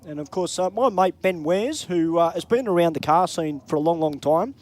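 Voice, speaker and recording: High-voiced Australian race commentator. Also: male voice, expressive, normal speed, darkish tone. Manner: chatty, upbeat, casual banter. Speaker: drag racing commentator.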